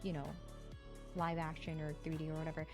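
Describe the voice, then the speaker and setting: A woman with a slightly low voice talks casually on a livestream. She is opinionated and loose in her phrasing, leaning on "like" as she goes. Soft music sits behind her. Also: completely flat delivery, brisk. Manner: opinionated, filler-heavy with frequent "like". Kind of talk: casual chat.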